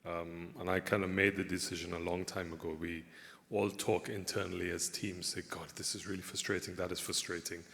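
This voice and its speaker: A man's voice: sits low, little pitch movement, fairly quick, gravelly, fairly bright tone, in a large hall. A man giving panel remarks, stumbling and repeating words.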